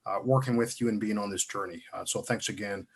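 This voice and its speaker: A man facilitating a public meeting, moving the agenda along politely and pausing often on "uh". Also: on the low side, gravelly, breathy edge. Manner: polite, frequent uhs.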